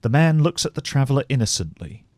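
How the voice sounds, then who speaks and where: A man narrating a scripted fantasy story as a measured, descriptive storyteller. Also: mid-range voice, sing-song, darkish tone, no room sound.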